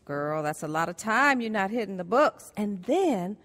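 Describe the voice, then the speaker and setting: An actress playing a frank, concerned young college roommate in a radio drama, speaking bluntly. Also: female voice, mid-range voice, big pitch swings, moderate pace, fairly bright tone, big-room sound. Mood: admonishing.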